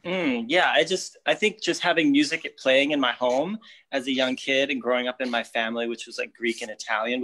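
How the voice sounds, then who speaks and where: A man's voice: medium pitch, a bit bright. Easygoing man from Brooklyn. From Brooklyn, New York. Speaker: choreographer. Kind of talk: casual chat.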